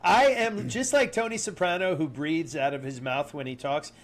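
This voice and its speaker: A man co-hosting a podcast in casual banter, with a nasal, stuffed-up voice, chatty and quick to laugh. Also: fairly high pitch. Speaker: podcast co-host.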